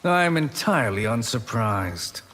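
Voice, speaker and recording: An actor playing a sly, haughty sorcerer in a fighting game, formal, measured and smug. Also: male voice, mid-range voice, sing-song, long reverb tail, very noisy. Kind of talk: video game fighter dialogue.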